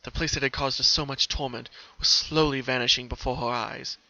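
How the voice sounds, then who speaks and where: A male narrator gives a dramatic reading of a story. His voice is mid-pitched, bright and intense, captured on a somewhat dull, lo-fi home recording. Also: fairly even intonation, a little fast, no room sound. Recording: slightly muffled lo-fi home recording.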